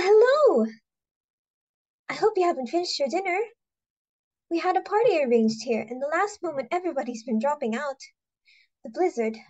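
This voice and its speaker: Woman playing very high-pitched, flustered young daughter. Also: a little mellow, highs cut off. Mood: polite, sociable. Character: anxious, bungling young society daughter. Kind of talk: amateur dramatic reading of a stage comedy.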